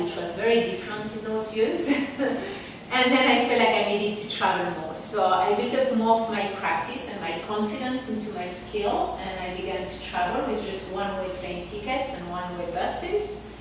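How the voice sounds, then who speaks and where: A Swiss woman in her forties with a French accent speaks casually to a group, rambling with loose fillers. Her voice is picked up from a distance in a very echoey room. Steady ambient noise sits under her words. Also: medium pitch, moderate pace. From Switzerland. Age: 40s. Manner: chatty and loose, many "like" fillers. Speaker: workshop facilitator.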